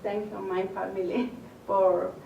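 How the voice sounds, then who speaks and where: Woman, from Mexico, halting, flat, slow, distant echoey mic. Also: mid-range voice, vocal fry, lo-fi sound, a little hiss or hum. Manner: shy, halting English with fillers.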